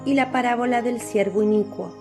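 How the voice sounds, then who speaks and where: A woman's voice: a little high, fairly quick, over music. A woman narrating a religious radio drama in a composed, scripted storytelling style.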